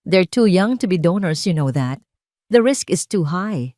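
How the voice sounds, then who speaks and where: A grave, gentle senior male blood specialist in an audio drama, read by a woman. He has a Filipino accent and speaks in measured, factual phrases, recorded very dry. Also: on the low side, animated, no room sound. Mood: reassuring, sober.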